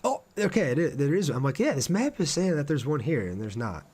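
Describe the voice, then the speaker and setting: A man's voice: a little high, very animated, a little fast, darkish tone, slightly rough. Casual man commentating on gameplay. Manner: informal, thinking aloud, reading out chat. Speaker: live game streamer.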